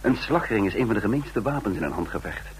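A man's voice: on the low side, lively intonation, fast, slight background noise, close to an everyday voice, rough recording. An actor playing a defiant trained fighter who recounts his own escape in a radio drama, with a sardonic edge. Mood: urgent recounting. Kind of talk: radio drama, first-person narration and dialogue.

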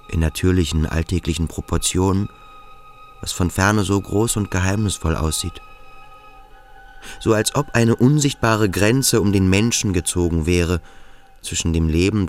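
An actor playing a brooding, domineering boarding-school boy in a radio drama, intense, insistent and introspective. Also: low-pitched. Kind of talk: German radio drama acting.

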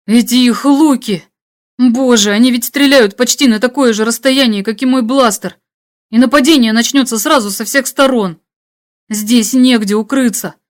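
A woman's voice: on the high side, moderate pace, voice pushed up, natural voice. A woman voicing a hunted, exhausted young man in an audiobook, tense and hesitant, anxious but brave. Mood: alarmed. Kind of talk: audiobook character dialogue.

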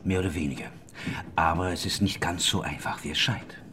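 An actor playing a bold, cunning schemer in a historical German radio drama, talking with theatrical, persuasive confidence. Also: male voice, low-pitched, steady tone, fairly quick, pushed and bright, a little hiss or hum. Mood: calm, assured.

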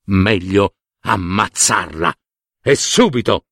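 Man playing a cruel husband, emphatic and animated. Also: sits low, slow pace, no echo, studio quality, pitched below the performer's own voice, heavily acted. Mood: scheming, ruthless. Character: cruel, callous husband refusing strangers.

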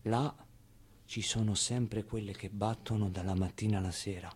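An actor playing a wistful, streetwise man who recalls his orphaned boyhood in an expressive, colloquial theatrical monologue. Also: fairly low pitch, husky. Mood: wry, confiding. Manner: expressive, clownish, with pauses and repeated phrases. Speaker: stage actor. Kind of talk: theatrical monologue, colloquial first-person storytelling.

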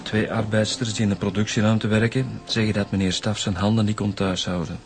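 An actor playing a matter-of-fact detective with a Flemish accent, setting out evidence in a radio drama. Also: male voice, on the low side, level tone, a little fast, over music, close to an everyday voice.

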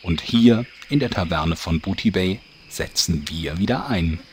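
Narrator, male actor: low, jovial, scripted audio-drama storytelling, slight noise behind. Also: lively intonation, unhurried. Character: jovial male storyteller addressing his listeners.

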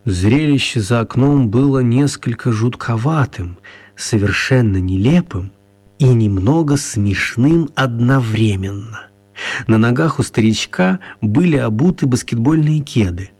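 Husky male storyteller for children's radio. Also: medium pitch, lively intonation, unhurried, a little mellow. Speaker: narrator.

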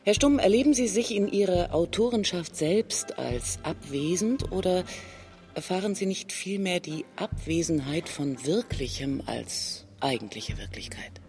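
A woman's voice: on the low side, animated, measured pace, a little mellow, faint music behind. A woman playing an earnest, insistent literary interviewer in a radio drama, formal and somewhat pompous in manner. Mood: earnest, probing. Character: earnest, probing literary interviewer, female, high-flown questions.

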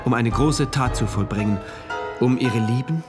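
An actor playing an earnest diarist and loyal engineer of a dystopian state, narrating a radio drama in a precise, formal, introspective manner. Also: male voice, medium pitch, moderate pace, over music, close to an everyday voice. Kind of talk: scripted first-person radio drama narration.

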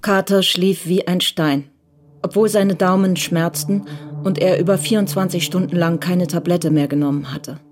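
A woman's voice: on the low side, steady tone, normal speed, background noise. A woman narrating a crime radio drama as a scripted literary story. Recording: clear music bed under narration.

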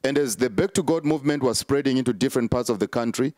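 A man's voice: mid-range voice, fast talker, darkish tone, no room sound, clean studio sound. A man, a prophet answering interview questions in an emphatic, repetitive preaching cadence with many fillers.

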